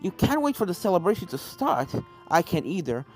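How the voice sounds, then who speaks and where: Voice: medium pitch, very animated, fairly quick, darkish tone, close to an everyday voice, poor audio. A reader voicing a cheerful, chatty younger-brother unicorn stallion who teases, in a fantasy story over game music. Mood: teasing, eager. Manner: chatty, teasing.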